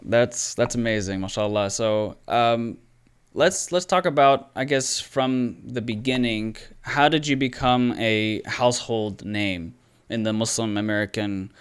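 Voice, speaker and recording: A man's voice: mid-range voice, measured pace, clear, resonant tone. A man hosting an interview, asking long, chatty questions and encouraging his guest. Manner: friendly, affirming, chatty questions. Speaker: podcast host, interviewer. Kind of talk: casual interview.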